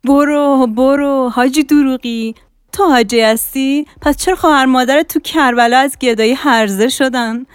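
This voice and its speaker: Woman doing a sharp-tongued nagging wife, shrill and scolding, audiobook voice. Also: clear, resonant tone, no echo, clean studio sound, lightly acted. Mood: scornful. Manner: shrill, scolding, shouting insults. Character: quarrelsome married woman, loud and sharp-tongued. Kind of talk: audiobook character acting.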